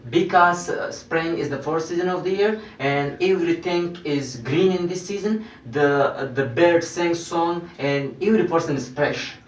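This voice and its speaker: A man's voice: a little high, moderate pace, hardly any pauses. A young man with a Pashto accent, answering in hesitant, simple English in a classroom practice. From Afghanistan. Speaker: student. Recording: echoey room, distant mic.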